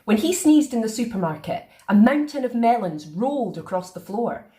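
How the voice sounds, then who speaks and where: A woman reading a children's picture book aloud in a playful, expressive way. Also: a little high, normal speed. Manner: expressive, sing-song. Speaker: storyteller.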